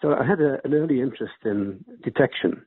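A man's voice: mid-range voice, expressive, brisk, slightly rough, no echo. An older man from Wales, an emeritus professor, answering interview questions at length with frequent restarts. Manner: rambling, with restarts. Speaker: guest author. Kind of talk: interview over a call. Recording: muffled phone-like line, dry.

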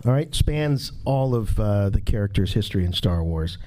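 A man's voice: on the low side, expressive, moderate pace, dark tone. A man hosting live trivia informally, explaining the game and addressing an audience. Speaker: live show quizmaster.

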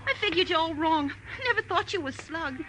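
High-pitched, brassy streetwise dame. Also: female voice, moderate pace, a bit bright. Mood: sympathetic, curious. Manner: slangy, familiar, calls people honey. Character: brassy, kindhearted, streetwise woman passerby. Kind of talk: 1940s-50s radio drama acting. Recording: noisy vintage radio recording.

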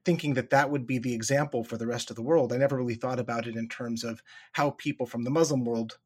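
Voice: medium pitch, fairly even intonation, fast, darkish tone, husky. An interviewer who teaches world history, responding thoughtfully to a guest. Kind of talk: podcast interview.

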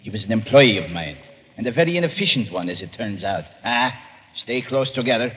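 An actor playing a menacing arms-dealer villain in an old radio drama, speaking in a formal, pompous, coolly mocking manner. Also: male voice, animated, a little fast, fairly bright tone. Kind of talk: 1950s radio drama acting. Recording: old radio recording, boomy reverb, muffled, hissy.

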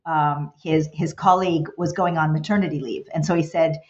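A woman's voice: sits low, fairly even intonation, normal speed. A middle-aged woman whose first language is Hungarian, answering interview questions thoughtfully with many restarts and fillers. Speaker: guest, college president. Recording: dull, lo-fi recording.

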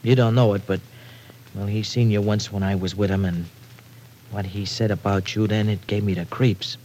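An actor playing a cocky, evasive young American punk in an old-time radio crime drama, talking in ungrammatical street slang. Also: male voice, on the low side, fairly even intonation, normal speed, darkish tone, natural voice. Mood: anxious, evasive. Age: young adult. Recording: noisy vintage radio recording.

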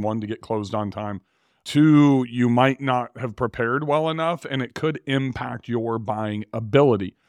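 Casual man with a slightly raspy voice. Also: medium pitch, no echo, clean studio sound. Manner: rambling, conversational, self-correcting. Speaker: podcast host. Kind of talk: casual solo talk on real estate finance.

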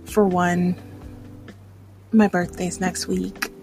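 A woman in her thirties talks casually and introspectively, as in a personal vlog. Her delivery is unhurried and halting, with plenty of vocal fry. Faint music plays along with her voice. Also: fairly low pitch, flat delivery, darkish tone. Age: 30s. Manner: hesitant, rambling, introspective. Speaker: vlogger.

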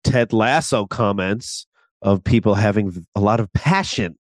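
A man's voice: fairly low pitch, measured pace, dry recording. A man co-hosting a podcast, talking in a rambling, reflective way. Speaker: podcast co-host. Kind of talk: casual podcast chat.

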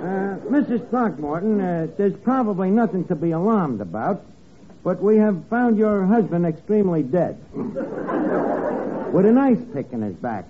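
An actor playing an eager, dim detective's sidekick in a 1950s radio comedy spoof, narrating with deadpan mock drama. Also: male voice, sits high, measured pace, crowd noise. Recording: tinny, band-limited old radio recording with hiss.

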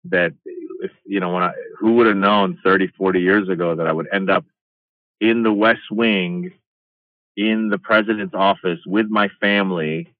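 A middle-aged man from Iran, a stand-up comedian, tells stories in a casual interview with an animated delivery. His voice comes over a tinny, phone-like line. The sound is dry, with no room in it. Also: low voice, moderate pace, no room sound. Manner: animated, acts out quoted exchanges.

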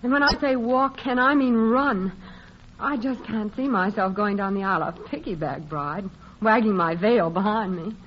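A woman plays a proud, tender young heroine in a vintage radio drama. She speaks softly, with restrained emotion. The old recording is muffled with a faint hiss. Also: medium pitch, normal speed, natural voice. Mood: proudly resolute.

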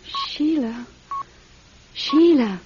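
A woman's voice: sits high, lively intonation, very slow, a little mellow, exaggerated voice. A woman playing an anxious, fragile sister in a radio drama, worried, hesitant and pleading. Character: anxious, fragile woman fretting over her injured sister. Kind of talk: classic radio drama acting. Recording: dull, lightly noisy old radio recording.

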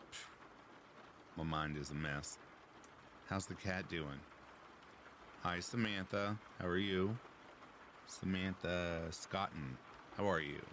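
Deep, low-voiced man chatting on stream. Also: noisy background. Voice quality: deep, raspy. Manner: relaxed, chatty, reads chat aloud. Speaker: livestream host. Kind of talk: casual chat with live chat.

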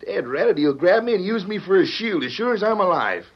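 A jittery rustic deputy with a rough, husky voice that jumps around in pitch. He is cautious and whines as he talks, in vintage radio western style. The sound is muffled. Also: sing-song, fairly quick, heavily acted. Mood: nervous. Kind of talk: old-time radio western acting.

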